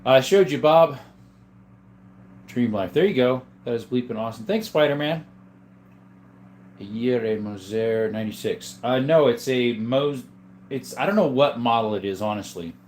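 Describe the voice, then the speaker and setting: A man hosting a casual livestream from a room full of guns, reading viewer comments aloud in a relaxed, joking way. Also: mid-range voice, moderate pace, pauses often, a little hiss or hum. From Arizona. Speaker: livestream host reading chat. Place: indoors, room with guns on display.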